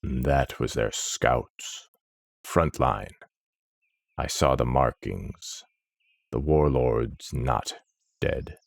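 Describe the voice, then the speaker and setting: A man voicing a huge, grim male minotaur warrior who speaks in a low rumbling growl, slow and terse. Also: creaky, dry recording, clean studio sound, full character voice.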